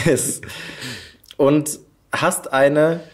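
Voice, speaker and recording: A man's voice: on the high side, fairly even intonation, extremely slow. A man hosting a podcast, chatting casually with his guest and asking questions. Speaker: podcast host, interviewer. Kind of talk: casual chat.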